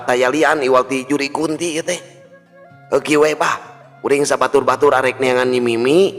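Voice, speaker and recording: A man acts out an earnest, helpful village man in a folk tale. He talks emphatically with a Sundanese accent and medium pitch, in long reverb over music. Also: fairly bright tone, lightly acted. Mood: resolute.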